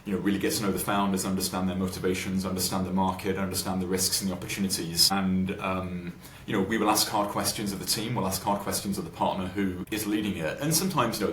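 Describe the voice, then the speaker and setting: A man's voice: low voice, a bit bright. A man giving informal, colloquial interview answers as an investor guest, explaining things in a conversational way. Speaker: venture capital investor, podcast guest. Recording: roomy echo with clear background noise.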